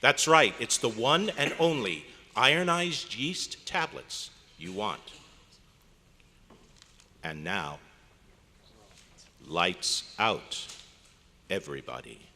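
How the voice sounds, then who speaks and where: A man's voice: lowish voice, big pitch swings, slow, pauses often, bright, forceful tone, a little hiss or hum, heavily acted. A man playing an old-time radio announcer, dramatic and persuasive, in a live recreation of a 1940s broadcast. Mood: ominous. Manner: dramatic, emphatic, salesmanlike. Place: large hotel meeting room at a convention. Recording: echoey hall, live stage performance.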